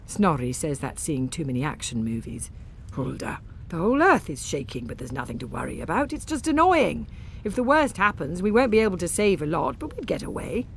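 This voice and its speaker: A woman narrating a story in the first person, with an expressive, wry and theatrical delivery. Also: fairly low pitch, a little fast, vocal fry, a little hiss or hum. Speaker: audiobook narrator.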